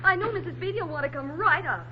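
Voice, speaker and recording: An actress playing a kindly, efficient young secretary, soothing yet gently firm, in 1940s radio drama style. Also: female voice, very high voice, expressive, fast. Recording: muffled, noisy 1940s radio recording.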